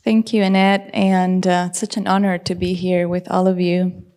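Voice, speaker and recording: A woman's voice: on the low side, little pitch movement, normal speed, a little mellow. Earnest woman from Rica. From Costa Rica. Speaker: panelist. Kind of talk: personal story on a panel.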